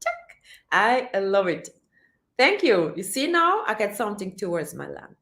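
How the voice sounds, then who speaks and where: A woman's voice: mid-range voice, a little slow. Encouraging woman in an echoey room. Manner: animated, encouraging, repeats herself. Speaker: vlogger reading live chat. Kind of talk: casual livestream chat.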